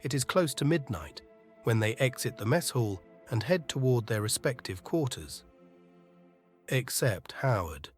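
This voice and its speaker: A man reads scripted audio drama narration. His mid-pitched voice tells the story in an atmospheric, literary way, with faint music behind it. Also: sing-song, measured pace.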